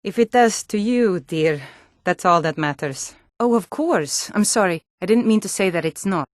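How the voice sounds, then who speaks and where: A woman plays a hardy Nord woman of a fantasy game north, part guard, part townswoman. She is plain-spoken and earnest, with a firm delivery at a middle pitch. The recording is dry and studio-close. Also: lively intonation, normal speed, dry recording, close to an everyday voice. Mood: gentle, caring. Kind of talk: fantasy video game character dialogue.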